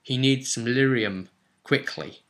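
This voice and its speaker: A man's voice: medium pitch, takes it slowly, fairly bright tone. A man narrating a tabletop fantasy game as game master, dramatic and intense, repeating phrases for emphasis.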